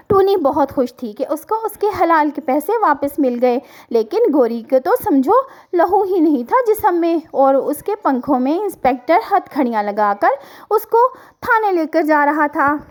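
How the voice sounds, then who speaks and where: A woman's voice: high-pitched, darkish tone. A woman narrating a story for children as a storyteller.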